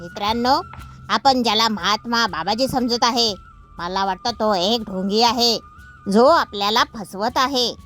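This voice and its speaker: A woman voicing a suspicious, level-headed donkey in a children's animal fable, speaking in a doubting, deliberate way. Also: on the high side, a bit bright, faint music behind.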